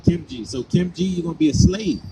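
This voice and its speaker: A man's voice: fairly high pitch, a little fast, heavy background noise. A man preaching on the street, pressing his points with repeated questions. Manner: insistent, hammers points with repeated "right?".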